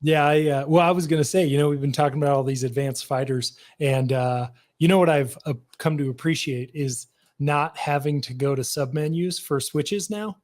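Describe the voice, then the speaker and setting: A man's voice: fairly high pitch, moderate pace, a little mellow. A man co-hosting a podcast, speaking hesitantly with lots of fillers. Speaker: podcast co-host. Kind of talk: casual podcast chat.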